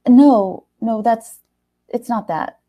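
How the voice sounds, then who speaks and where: A woman's voice: on the high side, slow, lo-fi sound, voice pushed up. A woman voicing an evasive groom, smug yet hesitant and placating when cornered.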